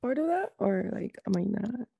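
A woman's voice: mid-range voice, animated, normal speed, creaky, dark tone, breathy edge, no room sound, clean studio sound. A young woman with a light Latin American accent, born abroad, chatting casually as a podcast co-host and rambling with lots of fillers and restarts. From born outside the US. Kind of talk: casual chat.

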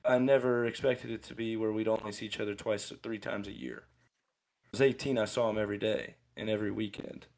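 A young man in his twenties rants through motivational advice on a laid-back podcast. His voice sits low and carries a gravelly rasp. He rambles and restarts his sentences as he talks. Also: quick pace, darkish tone. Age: 20s. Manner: rambling, ranting, with frequent restarts. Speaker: podcast co-host. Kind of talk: casual motivational podcast chat.

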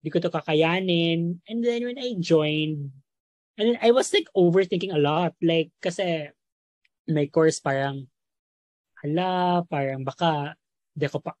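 A young man in his twenties from Bohol with a Filipino accent, a native Cebuano speaker, chatting reflectively as a podcast guest with pauses and restarts. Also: sits high, no echo. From Bohol, Philippines. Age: 20s. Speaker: podcast guest, medical student. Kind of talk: casual interview chat.

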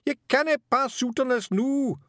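Brash tiny Scottish fairy warrior, high-pitched. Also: male voice, measured pace, dry recording, clean studio sound, heavily acted. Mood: urgent, desperate. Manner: excitable, loud, blunt. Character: tiny brash male Feegle fairy warrior leader, urgent and blustering. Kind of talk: audiobook character voice.

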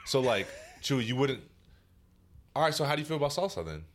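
A man's voice: mid-range voice, very animated, fast, crowd noise. A man co-hosting a casual podcast, talking loosely and profanely and steering the conversation. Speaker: podcast co-host. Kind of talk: casual chat.

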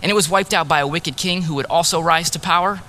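A man preaching in the first person as a grieving ancient prophet, earnest and sorrowful, dropping to a hush on key words. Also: on the high side, quick pace, a little hiss or hum, voice pushed up. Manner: earnest, sorrowful storytelling, drops to hushed tones on key words. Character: grieving ancient male prophet, heartbroken husband. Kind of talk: dramatic first-person sermon monologue.

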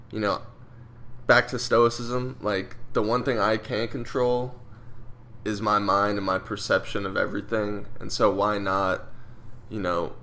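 A man's voice: lowish voice, a bit bright, a little hiss or hum. A young man co-hosting a casual podcast, speaking in a thoughtful, agreeable way. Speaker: podcast co-host. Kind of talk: casual chat.

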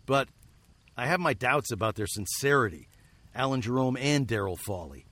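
Lovelorn western outlaw on the run, radio play, earnest n wary, mid voice, no room echo. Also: male voice, mid-range voice, expressive, normal speed, fairly bright tone. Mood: somber, doubtful. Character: wary, lovelorn male fugitive of the old American West. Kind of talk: radio drama acting with narration.

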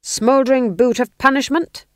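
A woman reading aloud, voicing the anxious, cowed ghost of a male king who pleads loudly. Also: high voice, moderate pace, dry recording, studio quality, voice pushed up. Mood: desperate. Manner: loud and pleading.

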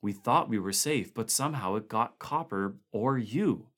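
A man voicing a frightened expedition member who suspects everyone around him, speaking in anxious, halting bursts. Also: fairly low pitch, lively intonation, fairly bright tone. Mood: uneasy. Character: nervous man fearing he's the last human.

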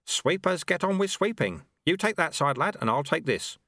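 A man voicing an ancient male monk sweeper who is fawning and sly, in a higher voice than his own. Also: big pitch swings, sharp tone, no echo, clean studio sound. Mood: dry, knowing. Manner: obsequious, fawning, brisk. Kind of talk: audiobook character voice.

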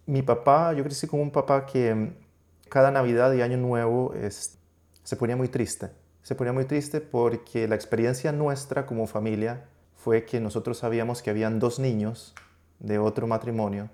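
A middle-aged man from Costa Rica, a guest talking about his documentary in a casual interview, often pausing and restarting his sentences. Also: medium pitch, fairly even intonation, a little mellow. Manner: hesitant, many restarts and fillers. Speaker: guest, documentary filmmaker and media professor.

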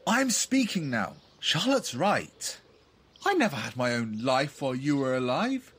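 A woman acts as a sheltered grown man exasperated with his mother. The voice is husky and swings widely in pitch, slow and earnest, with a polite hesitancy suited to audio drama. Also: big pitch swings, full character voice. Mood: defiant. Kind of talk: audio play acting.

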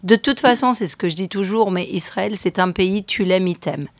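Gravelly-voiced middle-aged French woman. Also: medium pitch, normal speed, no room sound. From France. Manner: chatty, hesitant with many fillers, laughs often. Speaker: guest, real estate agent. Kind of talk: radio interview.

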